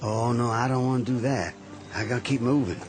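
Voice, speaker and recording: A man's voice: mid-range voice, fairly even intonation, a little fast, close to an everyday voice. A man playing a polite, humble young Confederate soldier with a Southern accent, wounded and heading home on foot, in a radio drama. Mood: earnest, humble. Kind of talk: 1960s-style radio drama acting.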